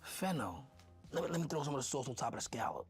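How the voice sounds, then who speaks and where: A young man with a Dominican accent, chatting excitedly and joking as he tastes and plates food in a restaurant kitchen for his stream. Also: medium pitch, fast, soft background music. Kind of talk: casual food vlog chat.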